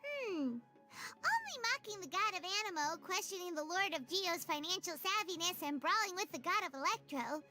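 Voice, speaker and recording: A woman voicing a small, excitable, childlike fairy companion in a video game, naive and cheeky. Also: very high voice, normal speed. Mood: sheepish, cheeky. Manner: speaks of self in third person, exclaiming. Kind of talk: animated video game voice acting. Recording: background music under the voice.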